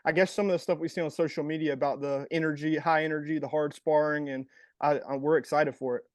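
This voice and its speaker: A man's voice: fairly high pitch, fairly even intonation, fast talker, no room sound. A man co-hosting a fight podcast, asking loose, rambling questions with plenty of "uh" and "I guess". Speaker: podcast co-host. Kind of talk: casual interview.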